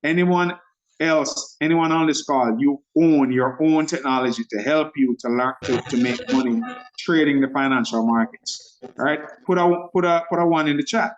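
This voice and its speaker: A man with a Jamaican accent blended with American and Nigerian tones, presenting a trading system to a group on a video call, talking fast and excitedly. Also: fairly high pitch, highs cut off. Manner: excited, speaks fast and runs over words.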